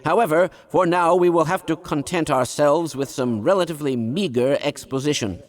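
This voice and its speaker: A man's voice: fairly high pitch, normal speed, close to an everyday voice. An actor playing an eccentric, fussy older male scientist in a video game, absent-minded yet kindly, speaking in wordy, formal, pedantic phrases. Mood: earnest, lecturing. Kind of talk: scripted video game dialogue.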